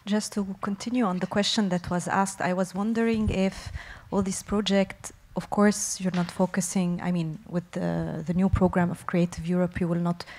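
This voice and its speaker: A woman in the audience asking a thoughtful, deliberately provocative question at a conference. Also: fairly low pitch, flat delivery, a little mellow.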